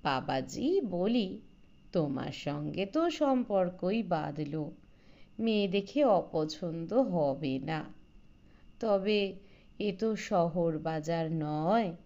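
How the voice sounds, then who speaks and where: A woman voicing an avuncular village elder man in a storybook, coaxing and familiar in an unhurried manner. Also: lowish voice, mellow, lo-fi sound, exaggerated voice.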